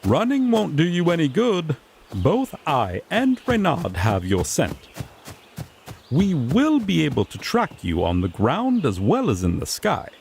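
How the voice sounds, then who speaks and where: Male actor as a griffon knight, fantasy audio drama, sassy rookie lawman, formal, fussy, very animated intonation, unhurried pace, mildly exasperated. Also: a little high, creaky, a little hiss or hum, exaggerated voice.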